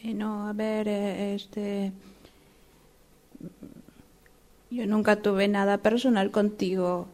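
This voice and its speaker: Una actriz de radioteatro que interpreta a una exgerente de mediana edad, humillada y desesperada por conseguir trabajo, con habla vacilante y deferente. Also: female voice, medium pitch, little pitch movement, warm tone, close to an everyday voice. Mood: awkward, defensive. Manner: hesitant, halting, deferential. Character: desperate middle-aged female job seeker, humbled former manager. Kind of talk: radio drama acting.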